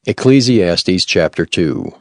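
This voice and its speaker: A man with a low-pitched voice serves as narrator for a scripture recording. He reads in a scripted announcing style, with music underneath. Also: lively intonation, slow pace, dry recording.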